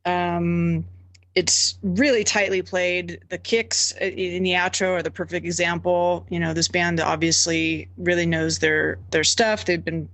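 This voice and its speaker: A woman's voice: fairly low pitch, level tone, unhurried, fairly bright tone, no echo, low-quality recording. A woman who teaches music, giving a thoughtful, hesitant review on a podcast with many ums.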